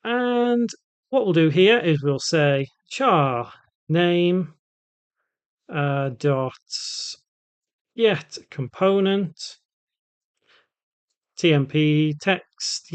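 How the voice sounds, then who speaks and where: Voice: mid-range voice, clear, resonant tone. A tutorial host talking casually and conversationally, thinking aloud through an unscripted coding walkthrough.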